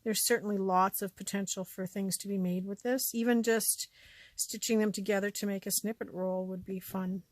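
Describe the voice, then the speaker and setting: A woman with a scratchy voice chatting casually as she shows off a thrift haul, often trailing off into quiet asides. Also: medium pitch, fairly even intonation, moderate pace, no room sound. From Canada. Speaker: vlogger showing a thrift haul. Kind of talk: casual show-and-tell chat.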